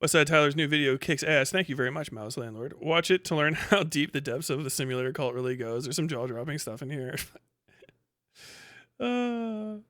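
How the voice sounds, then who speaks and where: A man with a fairly high voice talks as a livestream host, close and dry with little room sound. He is casual, sweary and slangy, commenting on posts with a mocking edge. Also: lively intonation, moderate pace, a bit bright, no room sound. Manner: often breaks into laughter.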